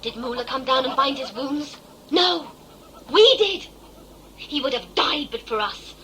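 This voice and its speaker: Woman playing high, bright, passionately defiant young woman. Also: expressive, measured pace, slight background noise, heavily acted. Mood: defiant, impassioned. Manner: intense, formal, dramatic. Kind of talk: sci-fi audio play acting.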